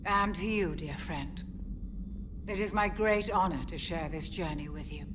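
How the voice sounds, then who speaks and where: A woman's voice: fairly low pitch, a little slow, lightly acted. A woman playing a gracious, affectionate lady with a genteel, sincere manner in a video game. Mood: warm, sincere. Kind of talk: video game dramatic acting. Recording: noticeable ambient noise.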